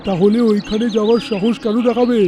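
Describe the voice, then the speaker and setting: A man's voice: high-pitched, steady tone, fast talker, warm tone, faint music behind, close to an everyday voice. A man voicing an earnest, dramatic talking forest animal in a cartoon fairy tale. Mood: worried. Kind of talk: cartoon voice acting.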